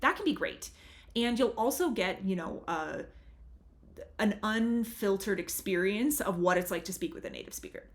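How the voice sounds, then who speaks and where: A woman's voice: mid-range voice, lively intonation, normal speed. A woman from the United States, a language tutor, giving chatty, earnest advice straight to the camera. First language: English. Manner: chatty and earnest, leans on "really" and "super". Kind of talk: casual advice talk to camera.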